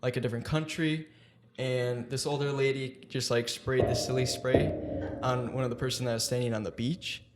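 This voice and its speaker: A man's voice: mid-range voice, steady tone, normal speed, a loud crowd. A young man hosting a podcast interview, talking hesitantly with many fillers. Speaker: podcast host.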